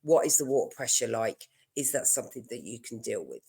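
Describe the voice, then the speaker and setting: A woman's voice: a bass voice, big pitch swings, brisk, vocal fry, mellow, slightly rough. A woman mortgage broker with a stuffy nose from a cold, chatting casually and explaining her advice with everyday examples.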